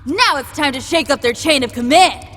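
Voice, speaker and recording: Very high-pitched spirited young game operative. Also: female voice, normal speed, fairly bright tone, big-room sound, soft background music. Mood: bold, eager. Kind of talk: anime-style video game voice acting.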